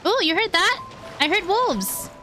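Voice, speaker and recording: A woman with a high, bright voice commentates her own game livestream. She is playful and exclamatory, talking casually to her viewers. Faint music plays underneath. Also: animated, a little slow. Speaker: streamer playing a video game.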